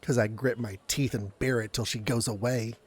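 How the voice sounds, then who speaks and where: A man's voice: medium pitch, steady tone, normal speed, husky, close to an everyday voice. A man playing a meek, good-natured young coffeehouse worker in an audio drama, mild and hesitant in manner. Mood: casual.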